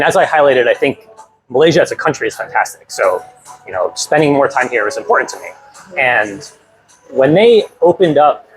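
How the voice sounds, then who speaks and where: A young American man, a guest talking about his move abroad in a lively, upbeat way with lots of fillers. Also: mid-range voice, poor audio. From United States. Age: young adult. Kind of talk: casual interview.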